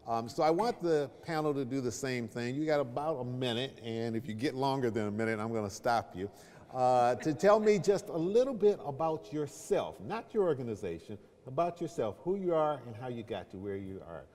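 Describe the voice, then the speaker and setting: A man's voice: mid-range voice, lively intonation, moderate pace, darkish tone, long reverb tail. A middle-aged man moderating a panel before an audience, speaking informally in a conversational, joking, self-deprecating way. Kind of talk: informal spoken introduction to an audience. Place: large reverberant event room.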